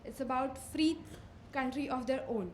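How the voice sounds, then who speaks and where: A woman with a high-pitched voice asks a question from an audience, rambling and hesitant. The room is echoey, with faint noise behind her. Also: normal speed. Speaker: audience member asking a question. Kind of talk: spontaneous question.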